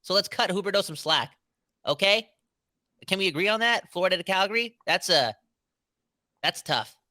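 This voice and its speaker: Male podcast co-host, casual and emphatic, slangy banter, forceful tone. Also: high voice, moderate pace, no echo, studio quality. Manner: animated, slangy, emphatic, says "bro" and "right?". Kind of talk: casual sports debate.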